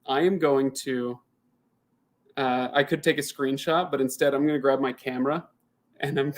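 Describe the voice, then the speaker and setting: A man in his thirties hosting a casual live Q&A, chatting and thinking out loud as he answers viewer comments, often restarting his sentences. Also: fairly high pitch. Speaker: channel host.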